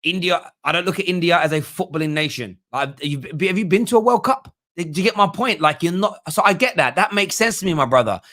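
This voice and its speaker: A man's voice: high voice, expressive, a little fast, few pauses, pushed and bright. A man hosting a football fan livestream, chatting bluntly and emphatically about viewer comments and often repeating himself. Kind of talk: casual live fan talk, reading out viewer comments.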